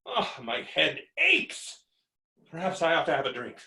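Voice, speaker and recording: A man's voice: fairly high pitch, wildly swooping pitch, measured pace, gravelly, pushed and bright, heavily acted. A man playing a blustering, hot-tempered creditor in a theatrical stage comedy reading, ranting with exasperated, melodramatic exclamations. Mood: weary grumbling. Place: indoor room, recorded remotely. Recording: roomy, echoing virtual staged-reading recording.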